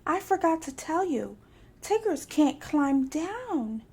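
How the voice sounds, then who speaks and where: Woman acting an excitable, bouncy young tiger, pitched up. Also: slow pace, exaggerated voice. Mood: scared. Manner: excitable, emphatic. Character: eager, boastful, bouncy young male tiger.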